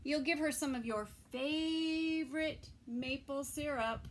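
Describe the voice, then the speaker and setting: Slow, higher-voiced woman reading to toddlers. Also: a little hiss or hum. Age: middle-aged. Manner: slow, expressive, pausing between phrases. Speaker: children's story time reader. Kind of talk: reading a picture book aloud to toddlers. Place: small indoor room with bookshelves.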